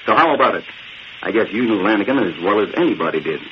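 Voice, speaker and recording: An actor plays a brash male reporter in an old radio drama, slightly hissy and muffled. His delivery swings widely in pitch, breezy, sarcastic and mocking. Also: expressive, quick pace, natural voice. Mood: wisecracking. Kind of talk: 1940s radio acting. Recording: muffled, faintly noisy old radio recording.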